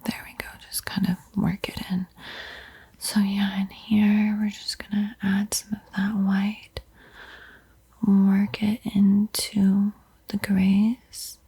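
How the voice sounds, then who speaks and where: A woman giving a gentle, encouraging art tutorial in a soft, breathy ASMR whisper. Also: mid-range voice, completely flat delivery. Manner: slow, gentle, encouraging instruction. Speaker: host teaching a beginner painting tutorial.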